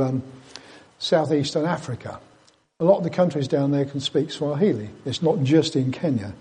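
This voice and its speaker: A man with an English accent gives an informal sermon like a chatty teacher. His voice sits a little high for a man, with a mellow, husky texture. He slips in dry asides and tag questions as he explains. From Britain. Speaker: preacher giving a Bible talk.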